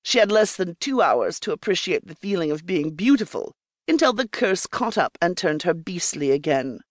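A woman's voice: lowish voice, lively intonation, moderate pace, no room sound, clean studio sound. A woman narrating a scripted fiction audiobook.

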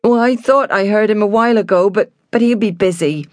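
A woman's voice: mid-range voice, a little fast, no room sound, voice pushed up, close to an everyday voice. A loyal, flustered housekeeper acted out by a woman reader in an audiobook novel. She talks in homely country turns of phrase and sounds close to tears, in a very dry recording. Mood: hesitant. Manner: homely rustic speech, near tears.

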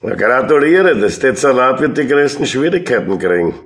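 An actor playing a resigned Austrian police detective, deadpan and sardonic, in a comic radio play. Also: male voice, medium pitch, normal speed.

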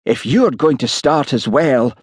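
A man voicing a gloomy, huffy village policeman with a sibilant Scottish Highland accent in an audiobook. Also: animated, moderate pace, darkish tone, breathy edge, no room sound, studio quality. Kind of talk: audiobook character voice.

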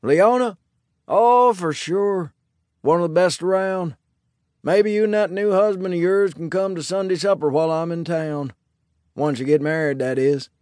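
A man voicing a kindly, easygoing older Old West sheriff in a storybook, with a folksy, hesitant, calming manner. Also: sits high, moderate pace, dry recording, clean studio sound, voice pushed up, lightly acted. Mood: friendly.

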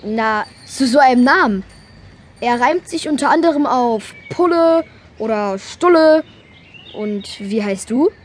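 A woman's voice: fairly high pitch, animated, unhurried. An actress playing a tiny, cheeky, confident young boy in a children's radio play, chirpy and showy in manner. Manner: chirpy, self-assured, showy.

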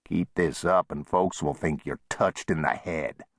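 A man's voice: low voice, fairly even intonation, measured pace, no echo, clean studio sound. A man voicing a wry, easygoing old frontier saloon owner with folksy, plain-spoken talk in a western audiobook. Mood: dry, exasperated.

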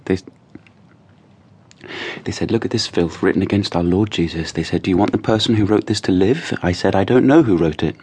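An actor playing an anguished, guilt-ridden man in a radio drama, husky and halting, with a fervent, pleading manner. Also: deep voice, a little mellow.

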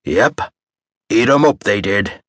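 A man voicing a jolly, booming old bar owner who talks like a pirate with a touch of an Irish lilt. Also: animated, slow, fairly bright tone, no room sound, clean studio sound. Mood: boisterous. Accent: Irish-tinged pirate. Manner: dramatic, theatrical, booming.

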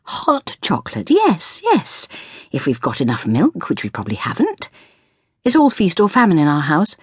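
A woman's voice: low-pitched, huge pitch swings, normal speed, slightly rough, no echo, studio quality, pitched above the performer's own voice, full character voice. A woman voicing a frazzled, sweary mother in a storybook, exasperated and fretting over her son, snapping and shrieking. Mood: harried.

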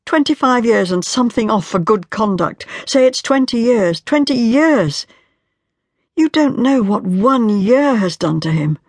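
A woman's voice: lively intonation, measured pace, a little mellow, no room sound. Woman reading as a desperate young wife in her twenties, tormented and pleading, dry close sound. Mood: anguished. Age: 20s. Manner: pleading, tormented, rising to a high strained pitch. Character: anguished young woman, desperate, grief-stricken. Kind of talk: audiobook character voice.

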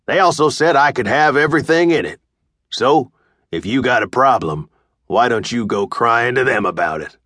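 A man voicing a rude, gruff drifter in his thirties who talks in a slow, dismissive drawl. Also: medium pitch, lively intonation, fairly bright tone, dry recording, studio quality. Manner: drawling, dismissive street talk.

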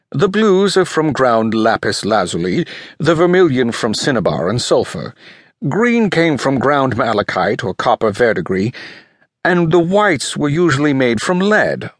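A portly old manuscript expert for an audiobook. He is pompous and courteous, with a breathless wheeze and rolled Rs. His pitch swoops theatrically, and the recording is close and dry. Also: no room sound. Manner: pompous, emphatic, rolls his R. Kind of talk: audiobook character voice.

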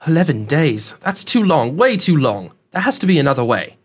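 A man's voice: on the high side, very animated, moderate pace, slightly rough. A man narrating an audiobook, voicing a polite, earnest young adventurer with an anxious, urgent manner.

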